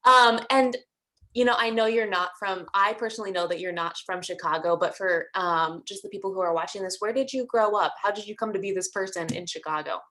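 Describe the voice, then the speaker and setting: A woman's voice: mid-range voice, brisk, pushed and bright. A woman interviewing a colleague, asking friendly, rambling questions with many fillers. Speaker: interviewer, fellow counselor.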